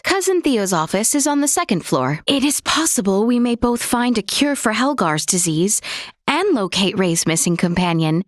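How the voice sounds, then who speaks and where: A woman's voice: mid-range voice, no echo, studio quality, close to an everyday voice. A woman voicing a young, earnest royal princess in a video game, speaking in formal, polite, old-fashioned courtly phrases. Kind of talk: scripted video game voice acting.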